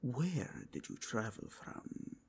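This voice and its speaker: A man's voice: medium pitch, huge pitch swings, breathy edge, full character voice. A man voicing a zealous, courtly werewolf inquisitor in an ASMR roleplay, with a mixed foreign accent touched by Spanish, speaking formally and in a half-whisper. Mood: courteous, probing. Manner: formal, courteous, deliberate, intense.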